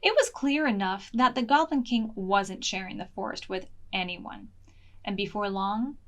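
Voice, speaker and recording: A woman reading a picture book aloud to preschoolers in an expressive, deliberate way, as a storytime librarian. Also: mid-range voice, highs cut off. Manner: slow, deliberate, expressive, pausing to ask questions.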